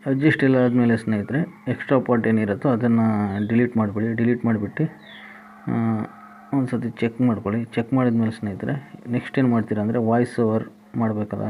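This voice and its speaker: A man's voice: medium pitch, fairly even intonation, a little fast, mellow. A man giving a step-by-step app tutorial as a voice-over, repeating each instruction as he goes. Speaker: tutorial host. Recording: tinny phone-like band, faint noise.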